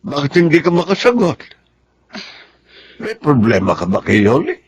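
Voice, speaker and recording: A well-off middle-aged uncle giving counsel in a radio drama. His Filipino-accented voice is husky and creaky, with lively rises and falls. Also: fairly high pitch, normal speed, full character voice. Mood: grave, advising. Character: concerned, advising uncle, a well-off older gentleman.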